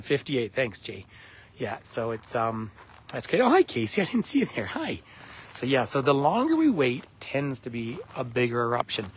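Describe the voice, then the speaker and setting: A middle-aged man with a husky, croaky voice, nursing a cold. He talks loosely and chattily as a tour guide livestreaming outdoors on his phone, breaking off to greet viewers by name. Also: mid-range voice. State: has a cold. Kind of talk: live-streamed casual commentary to viewers. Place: outdoors at a geyser basin.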